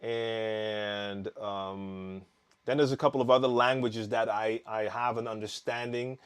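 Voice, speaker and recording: Relaxed man chatting on a live stream. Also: fairly low pitch, unhurried, smooth. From Netherlands. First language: Dutch. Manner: relaxed, chatty, reading viewer comments aloud with frequent fillers and restarts. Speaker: DJ hosting a live Q&A.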